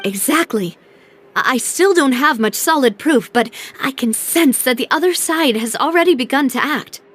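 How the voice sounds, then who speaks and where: Determined young woman investigator, high voice, poised, thoughtful, deliberate video game cutscene delivery. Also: animated, fairly bright tone. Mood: serious, confiding. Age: young adult.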